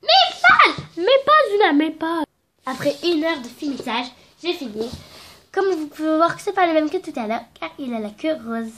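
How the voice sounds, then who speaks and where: High-voiced young woman with a cold. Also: sing-song, moderate pace, background noise. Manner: chatty, rambling, playful. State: stuffy nose from a cold. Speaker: craft vlogger. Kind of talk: casual craft tutorial. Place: at home indoors. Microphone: phone camera.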